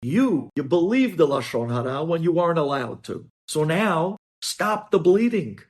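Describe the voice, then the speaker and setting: A man's voice: fairly high pitch, sing-song, moderate pace, a little mellow. A man speaking as a rabbi giving a religious lesson, explaining emphatically and repeating key phrases. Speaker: rabbi teaching religious law. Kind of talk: religious lesson with hypothetical examples.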